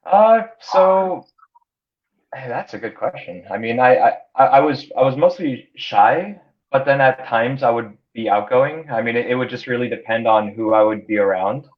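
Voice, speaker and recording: A man's voice: mid-range voice, lively intonation, moderate pace. A man in his thirties, a Hungarian native speaker raised in the United States, talks about his life in a casual interview, stumbling over repeated words and fillers. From born in Canada, grew up in the United States. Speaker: interview guest, entrepreneur. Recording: dull lo-fi audio.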